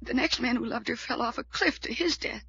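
An actress playing a distraught young woman convinced she is doomed, speaking in a halting, melodramatic way in an old-time radio drama. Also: on the high side, creaky, bright, forceful tone, slight background noise. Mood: grieving, halting. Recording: dull vintage radio recording.

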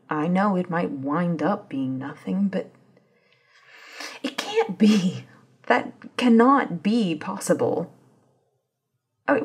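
Woman playing intense impatient thriller character, lowish super breathy voice, asmr audio drama. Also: darkish tone, heavily acted.

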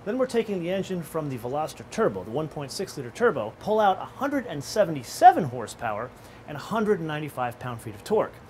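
A middle-aged man hosting a car show, explaining features in a lively, animated way outdoors and behind the wheel. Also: on the high side, fast talker, slight background noise. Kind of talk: car review presentation.

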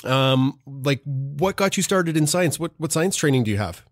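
A man's voice: a little high, expressive, normal speed, no echo, studio quality. A man hosting a casual live podcast interview, asking loose questions and making jokes. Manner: friendly, jokey, asks rambling questions. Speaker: podcast host.

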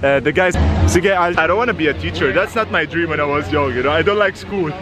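A young man vlogging outdoors in a busy plaza with a camera at arm's length, chatting excitably with fans and laughing often. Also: fairly high pitch, fast talker. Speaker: travel vlogger. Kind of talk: casual vlog chat with fans. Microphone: camera held at arm's length. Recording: outdoor crowd and music noise. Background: crowd and loud music.

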